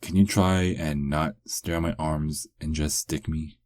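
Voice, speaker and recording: A man performing a male werewolf for an ASMR roleplay, with an extremely low voice that takes its time. He is sarcastic and flirtatious, drawling his teases in a close, dry sound. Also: fairly even intonation, darkish tone, no echo. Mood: cocky, amused. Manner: teasing, sarcastic, slow drawl with lingering pauses.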